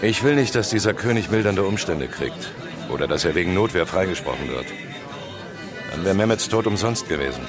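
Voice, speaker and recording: An actor playing a blunt, world-weary journalist in a radio drama, speaking in a direct, dry and pressing way. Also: male voice, deep voice, lively intonation, brisk, over music. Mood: weary, resigned. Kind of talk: German radio drama acting.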